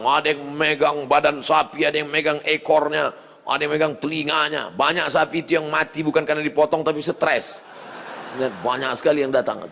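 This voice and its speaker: A male preacher giving a mosque sermon, swinging from quiet asides to loud shouted appeals. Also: a little high, long reverb tail.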